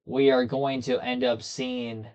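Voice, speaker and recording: A young man with a slightly hoarse voice, chatting casually with viewers as he hosts a racing commentary livestream from a desk in a small room. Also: mid-range voice, flat delivery, takes it slowly, darkish tone, lo-fi sound. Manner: chatty, talks straight to his viewers.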